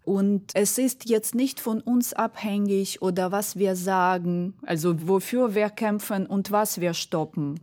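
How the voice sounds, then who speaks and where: Slow-speaking woman with Ukrainian accent. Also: mid-range voice, steady tone, dark tone. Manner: deliberate, careful in wording. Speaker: debate guest. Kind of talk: political debate, reflective argument.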